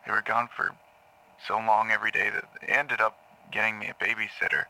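An actor playing a nervous, troubled man who speaks haltingly and apologetically in an audio drama. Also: on the low side, flat delivery, vocal fry, pushed and bright, heavily acted, low-quality recording. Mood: guarded, uneasy. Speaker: actor playing a therapy patient.

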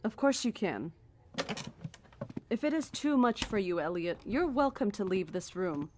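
An actress playing a cryptic, coldly certain cult founder in a horror audio drama, speaking in a measured, formal and deliberate way. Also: female voice, medium pitch, sing-song, darkish tone, slight background noise. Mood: calm, knowing.

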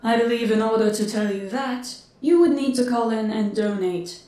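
A woman's voice: on the high side, normal speed, mellow, roomy sound, natural voice. A woman playing Madam Fortune, a cryptic fortune teller who gives wry, ominous answers. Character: cryptic female fortune teller, dry wit. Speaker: fortune teller on a radio fundraiser.

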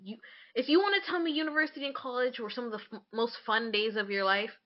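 A woman's voice: fairly high pitch, fast, muffled recording. A woman in her mid-twenties chatting casually as a podcast co-host, opinionated and emphatic, with blunt wording. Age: mid-20s. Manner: blunt, frequent swearing, emphatic.

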